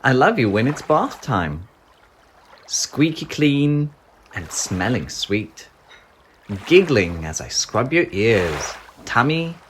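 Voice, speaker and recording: A narrator reading a picture book aloud to young children in a gentle, affectionate way with drawn-out phrasing. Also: medium pitch, big pitch swings, slight background noise. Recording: music behind the voice. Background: music.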